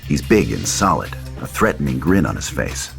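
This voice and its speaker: A man with a very deep voice reads horror stage directions as a narrator. His scripted delivery sits over clearly audible music. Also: sing-song, moderate pace. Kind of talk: scripted screenplay narration, horror table read. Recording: loud music under the voice.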